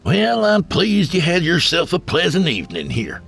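Man acting a folksy Southern bartender, pitched way up. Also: huge pitch swings, normal speed, vocal fry, a bit bright, slightly rough, faint music behind, heavily acted. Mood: friendly, courteous. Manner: folksy, courteous. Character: friendly Southern old-saloon bartender, hollering and folksy.

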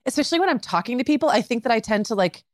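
A woman's voice: on the high side, animated, very fast, no echo, studio quality. A middle-aged woman co-hosting a podcast in casual chat, talking in a rambling way with many filler words. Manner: chatty, many "like" fillers and restarts. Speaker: podcast co-host.

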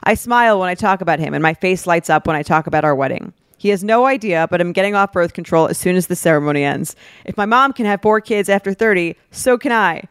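A woman's voice: fairly low pitch, lively intonation, fast talker, hardly any pauses, clean tone, dry recording, clean studio sound. A woman co-hosting a podcast, reading a script aloud with some casual banter. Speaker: podcast co-host.

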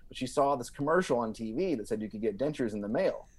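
A man's voice: medium pitch, expressive, fast talker, slightly rough. A man telling comic anecdotes as a podcast guest, casually and emphatically, often repeating himself as he builds the story.

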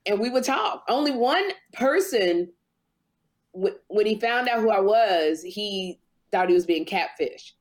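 A woman chatting casually in a group, telling long drawn-out stories. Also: medium pitch, lively intonation. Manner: storytelling, drawn-out phrasing.

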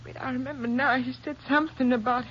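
Shaken, tremulous nurse. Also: female voice, sits high, fairly even intonation. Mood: shaken, recalling. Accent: American. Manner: tremulous, halting, emotional delivery. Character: distraught, shaken female nurse, devoted and earnest. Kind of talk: 1950s radio drama acting. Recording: muffled, noisy 1950s radio recording.

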